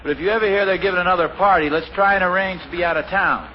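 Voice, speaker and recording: Guy doing a high-voiced fussy sitcom dad, old muffled radio sound. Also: rapid-fire, close to an everyday voice. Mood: exasperated. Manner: exasperated, chuckling, comic complaints. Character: flustered easygoing family father. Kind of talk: 1950s radio sitcom acting. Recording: dull old radio transcription with hiss.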